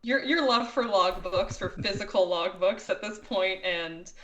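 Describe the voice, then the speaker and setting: A woman's voice: medium pitch, measured pace, a bit bright, dull audio, slight background noise. A woman improvising narration as game master of a tabletop game, in a casual, amused way.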